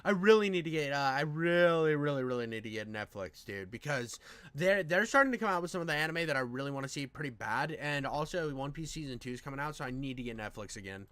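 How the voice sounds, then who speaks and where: A man's voice: fairly high pitch, animated, quick pace, a bit bright, no room sound. A man giving casual reaction commentary in an effusive, rambling, slangy way with plenty of swearing. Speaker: reaction video host.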